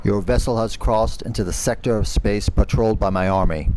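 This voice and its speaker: Man as imperious warlord, male, dark mellow timbre, slightly low pitch, stilted formal commands, menacing, sci-fi audio drama. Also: brisk, a little hiss or hum, close to an everyday voice, low-quality recording. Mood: cold, threatening.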